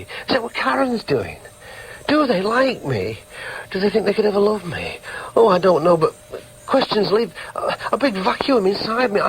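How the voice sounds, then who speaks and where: A needy, earnest grown son in a radio drama. His high voice rises and falls dramatically as he presses and questions himself. Some noise sits behind the recording. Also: rough recording. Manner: earnest, emphatic, self-questioning. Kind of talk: radio drama with inner monologue.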